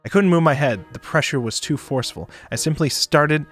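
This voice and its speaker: A man doing a dramatic narration of a visual novel for a stream, expressive, sometimes stumbling and joking. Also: fairly high pitch, brisk. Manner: expressive, stumbles and rereads lines. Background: music behind the voice.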